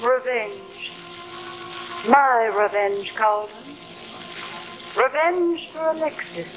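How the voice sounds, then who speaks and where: Murdered wife's ghost, accusing n spooky, super slow, old horror show. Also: female voice, on the high side, faint music behind, low-quality recording. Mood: accusing, vengeful. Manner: slow, haunting, insistent. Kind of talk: 1950s television horror acting.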